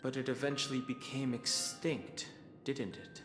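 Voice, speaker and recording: A man voicing a calm, steady young investigator. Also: medium pitch, a little slow, lightly acted. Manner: level, explanatory. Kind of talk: video game voice acting. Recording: game audio with long reverb and music.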